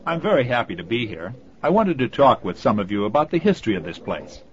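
A man's voice: mid-range voice, very animated, quick pace, close to an everyday voice. A man playing a polite reporter interviewing people in a scripted language-course dialogue. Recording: dull lo-fi recording.

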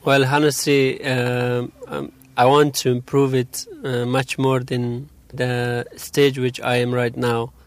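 A young man from Afghanistan, answering interview questions about his English in a halting, hesitant way. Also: medium pitch, monotone. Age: young adult. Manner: hesitant, halting, many pauses.

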